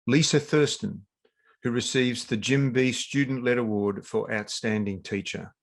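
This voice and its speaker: A man's voice: medium pitch, a little slow. A man formally reading out award winners in a ceremonious, scripted manner. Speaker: award presenter.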